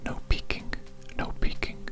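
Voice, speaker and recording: A performer speaking in a breathy, unvoiced whisper, playing a doctor in an ASMR roleplay with soft, reassuring, repetitive patter. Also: measured pace, over loud music.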